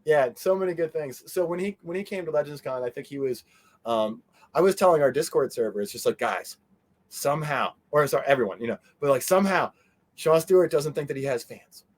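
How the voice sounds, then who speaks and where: A man giving a casual interview as a guest, animated and meandering, often trailing off mid-sentence. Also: fairly high pitch, brisk. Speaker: interview guest, fan convention organizer. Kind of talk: casual rambling interview chat.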